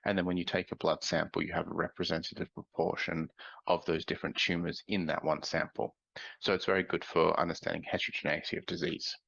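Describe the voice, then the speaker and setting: A man presenting a scientific conference talk, sounding nasal from a cold, explaining in a measured way with frequent ums. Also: low-pitched, little pitch movement, creaky, fairly bright tone, dry recording, lo-fi sound.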